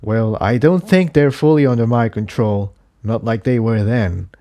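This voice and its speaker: A man's voice: mid-range voice, moderate pace, mellow, natural voice. A man voicing an earnest, cautious male pony in a story reading, explaining himself hesitantly. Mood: troubled, explaining.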